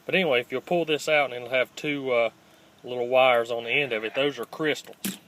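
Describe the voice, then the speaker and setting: A man giving a casual, unscripted hands-on how-to in a plainspoken, halting way, recorded on a handheld phone. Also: medium pitch, fairly even intonation, fairly bright tone, low-quality recording. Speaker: host of a scrapping how-to channel. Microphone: handheld phone, filming one-handed. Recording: home phone video with faint background noise.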